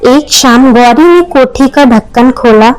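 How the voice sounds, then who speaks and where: A woman's voice: sits high, slow pace, clean tone, no room sound, poor audio. A woman narrating a scripted moral story as a storyteller.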